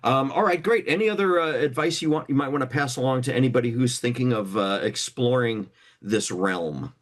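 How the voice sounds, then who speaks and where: A man's voice: medium pitch, brisk. A man hosting a casual interview in an informal, affirming way. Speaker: interview host.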